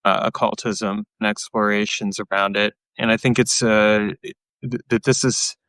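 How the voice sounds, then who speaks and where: A man hosting a podcast interview, talking thoughtfully and haltingly, often repeating words as he searches for them. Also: on the low side, flat delivery, a bit bright, no echo. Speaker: podcast host. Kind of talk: casual interview talk.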